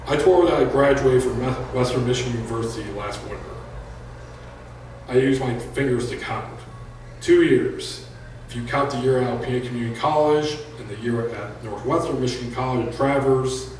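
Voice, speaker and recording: A man with a deep voice, an author reading his own prose fiction aloud at a live event, with casual asides to the listeners. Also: darkish tone, slight background noise. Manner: plain unhurried reading with joking asides to the audience. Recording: echoey room from far off.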